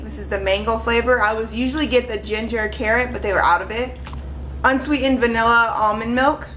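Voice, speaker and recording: A woman's voice: medium pitch, fairly bright tone, a little hiss or hum, rough recording. A young woman in her twenties chatting casually in a home kitchen, holding up groceries one by one for a webcam vlog. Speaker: vlogger.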